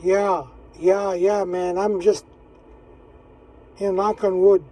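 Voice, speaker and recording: A man's voice: high-pitched, level tone, slow, warm tone. A man of sixty-one chatting casually and in a laid-back way as an amateur radio operator, repeating himself for emphasis. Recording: distant mic, vehicle noise.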